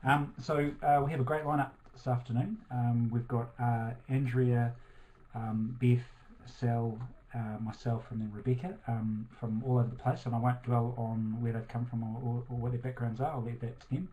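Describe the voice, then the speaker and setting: A man's voice: medium pitch, little pitch movement, moderate pace. A man hosting an online talk session, introducing speakers with many ums and uhs. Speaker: event host. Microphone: webcam on a video call. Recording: tinny call audio with faint noise.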